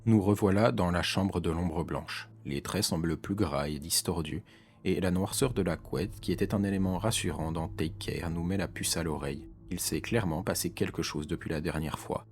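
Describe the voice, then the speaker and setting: A man giving scripted explanatory narration in French video-essay style, with a measured, serious storytelling manner. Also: sits low, darkish tone. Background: background music.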